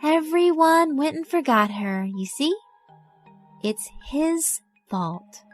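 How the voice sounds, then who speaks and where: A woman's voice: high voice, animated, slow, voice pushed up. A woman voicing a mysterious schoolgirl in a visual novel, knowing, teasing and uncanny. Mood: sly. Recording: music behind the voice.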